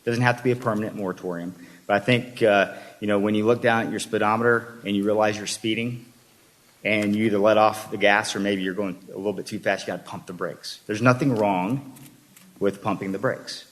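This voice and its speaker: Low-voiced retired man giving public comment. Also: steady tone. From Texas. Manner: measured, lists points one by one. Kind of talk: public comment at a city meeting.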